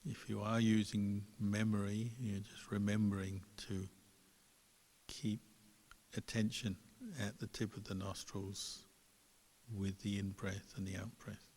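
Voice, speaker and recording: A man leads a guided meditation as a monk would, calmly and very slowly. His voice sits on the low side and leaves gentle gaps between phrases. It rings out with long reverb, as in a large hall. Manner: calm, unhurried, gentle pauses between phrases. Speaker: Buddhist monk, meditation teacher.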